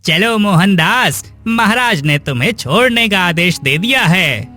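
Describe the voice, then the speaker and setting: Man acting as royal soldier, calm, unhurried, cartoon story, soft music. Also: high voice, full character voice. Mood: matter-of-fact.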